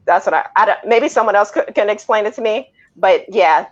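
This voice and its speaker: A woman's voice: medium pitch, animated, fairly quick, lo-fi sound. A woman panelist on a film review show, giving her opinions in a casual, conversational way.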